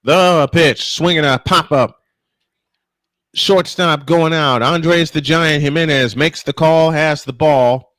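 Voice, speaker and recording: Man for live baseball call with loose banter; voice a touch high, very dry acoustic. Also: slow, a bit bright, dry recording. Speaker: play-by-play sportscaster.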